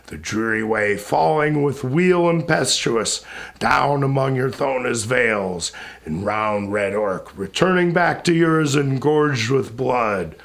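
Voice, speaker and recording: A man giving a solemn, dramatic recitation of epic poetry in a grave, declamatory manner with deliberate pauses between phrases. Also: on the low side, fairly bright tone.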